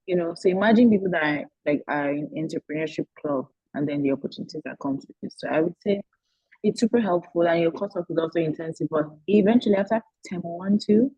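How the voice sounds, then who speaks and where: A young woman student answering questions on a video call, chatty and lively, full of "like" and "you know". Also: on the low side, fast talker, breathy edge, dry recording. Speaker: current MBA student panelist. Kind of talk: casual spoken answer. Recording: dull lo-fi video-call audio.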